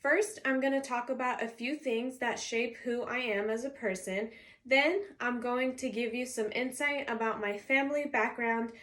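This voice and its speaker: Young woman with a higher voice giving a class speech. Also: steady tone, normal speed, a bit bright. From California, US. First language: Arabic. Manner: structured, reading from notes with signposted points. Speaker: college student. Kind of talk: reading a prepared class introduction speech.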